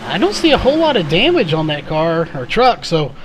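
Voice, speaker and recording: A man doing live race commentary in a conversational, analytical way, his voice a little hoarse. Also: on the high side, sing-song, a little fast, a little hiss or hum. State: slightly hoarse, losing his voice. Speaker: sim or short-track race play-by-play commentator.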